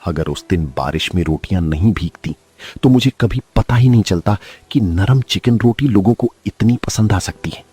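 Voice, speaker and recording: A man's voice: fairly low pitch, lively intonation, normal speed, warm tone, breathy edge, no echo. A man playing a hardworking street vendor, Manish. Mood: earnest. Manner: earnest, heartfelt. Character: hardworking poor male food vendor Manish.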